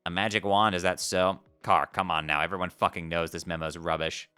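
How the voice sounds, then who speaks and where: A man voicing a mopey, self-pitying teenage troll boy who talks low and sulky. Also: steady tone, brisk, pushed and bright, smooth, no room sound, faint music behind.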